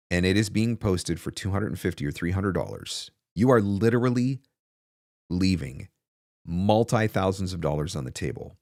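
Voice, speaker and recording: Male host, 40s, lower register, emphatic casual advice delivery, dry home-studio sound. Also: dry recording. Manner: emphatic, rhetorical questions, repeats key phrases for stress. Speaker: host, voice actor coach. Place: small home studio, indoors. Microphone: close desk broadcast microphone.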